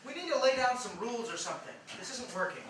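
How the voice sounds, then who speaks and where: A man's voice: very high voice, animated, quick pace. A man on stage playing an impatient, blunt writer who sounds peevish and lays down rules. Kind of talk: theatrical staged-reading dialogue. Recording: distant echoey stage recording, loud noise.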